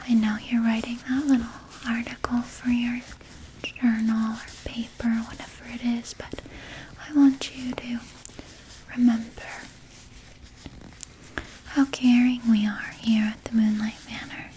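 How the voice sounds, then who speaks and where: A woman playing a vampire nurse in an ASMR roleplay, whispering breathily and coddling the listener with quiet menace. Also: on the high side, little pitch movement, slight background noise, lightly acted, low-quality recording. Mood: veiled menace. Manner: slow, soothing, coddling with veiled threat. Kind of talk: ASMR roleplay, personal attention.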